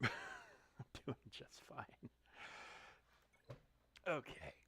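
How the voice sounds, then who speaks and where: A man streaming a mobile game, thinking aloud in a relaxed, muttering way as he clicks through menus. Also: drawn-out words. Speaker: gaming streamer. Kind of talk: casual live-stream commentary while playing.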